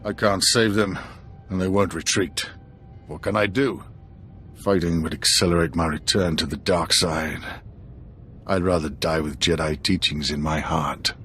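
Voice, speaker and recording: An actor playing a tormented, repentant former dark warrior in a video game cutscene, speaking gravely, as if confessing. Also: male voice, low voice, fairly even intonation, a little slow, slight background noise. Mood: despairing. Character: tormented repentant dark Jedi, former Imperial, guilt-ridden man. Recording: game music under the voice.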